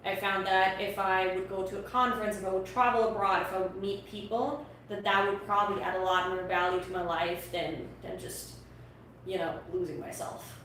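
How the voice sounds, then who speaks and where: A woman's voice: medium pitch, little pitch movement, moderate pace, fairly bright tone. A young woman from Austria whose first language is German, talking earnestly as an interview guest and often repeating words as she starts a sentence. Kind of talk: casual interview talk. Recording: distant echoey mic with faint noise.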